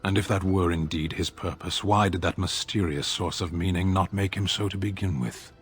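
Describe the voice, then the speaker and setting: Deep-voiced stoic warrior man played by an actor. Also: soft background music, lightly acted. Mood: dry, dismissive. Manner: deadpan, terse, deliberate, matter-of-fact. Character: stoic, blunt male warrior, stern and philosophical. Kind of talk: scripted fantasy game dialogue.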